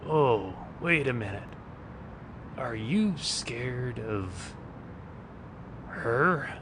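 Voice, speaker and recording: A man voicing a wry, taunting street bum and vigilante sidekick in an animated series, mock-polite and sardonic. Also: drawn-out words. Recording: clear low rumbling background noise.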